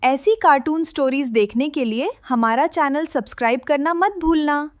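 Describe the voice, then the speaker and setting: A woman's voice: high voice, normal speed, music in the background. A woman announcer delivering a scripted channel promo.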